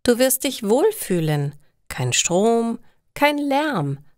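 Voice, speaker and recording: A welcoming countrywoman of old-fashioned ways voiced by a woman in a storybook. She proclaims in short phrases, with a raised, swooping pitch and a dry, close sound. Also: very animated, takes it slowly, a little mellow, no room sound. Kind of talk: storybook reading for learners.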